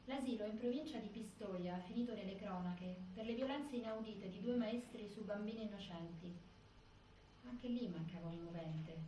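A woman's voice: medium pitch, normal speed, dark tone, lightly acted. A woman performing a formal, rhetorical stage monologue as someone arguing indignantly against a judicial ruling. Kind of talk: scripted theatrical monologue. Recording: very echoey, distant, faint noise.

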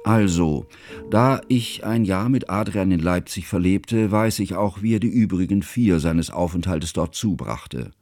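Deep, mellow and closely recorded, a bookish man chronicling his friend's life in a radio play. He speaks formally and with scholarly fussiness, anxious underneath, over music. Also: animated, a little slow. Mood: reflective, measured. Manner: formal, scholarly, ornate phrasing. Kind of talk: literary radio drama narration.

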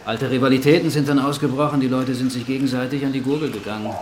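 An actor playing an earnest islander guiding survivors, explaining things in a measured, pausing way, in a German radio drama. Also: male voice, medium pitch, steady tone, darkish tone, close to an everyday voice. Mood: grave, explanatory. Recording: echoey space, clear background noise.

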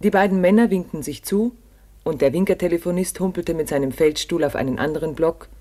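A man's voice: fairly high pitch, brisk, darkish tone. A man narrating a scripted radio documentary drama in a solemn, literary manner.

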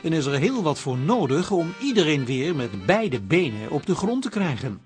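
Animated male radio narrator over music. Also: a little high, moderate pace. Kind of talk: scripted radio drama narration.

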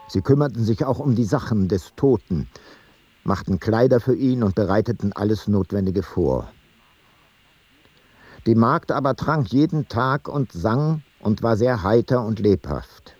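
A sober, low-voiced ancient traveller. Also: male voice, darkish tone, breathy edge, no echo. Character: sober ancient traveller reporting a foreign funeral rite. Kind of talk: literary radio play narration.

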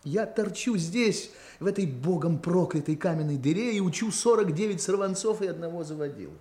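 An actor playing a bitter, dreamy village schoolteacher, musing and declaiming in 1960s radio drama style. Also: male voice, high voice, animated, a little fast, a little mellow. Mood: bitter, exasperated. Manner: declamatory, musing, repeats phrases to himself.

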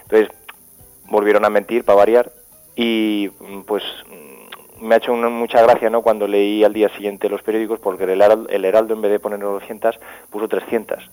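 A man from Spain, calling into a radio show by phone, talking haltingly with many fillers and restarts. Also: fairly low pitch, level tone, a little mellow, soft background music. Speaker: caller from a local radio. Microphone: telephone. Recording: phone line.

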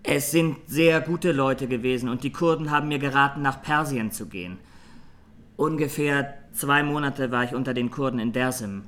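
An actor playing a young Armenian massacre survivor giving halting, sombre testimony in a radio drama. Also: male voice, medium pitch, fairly bright tone, natural voice. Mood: sober recounting.

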